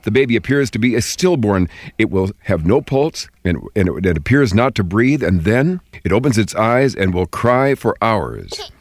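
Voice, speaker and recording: A man's voice: mid-range voice, no echo. A man hosting a show, reading scripted narration mixed with casual banter. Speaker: show host.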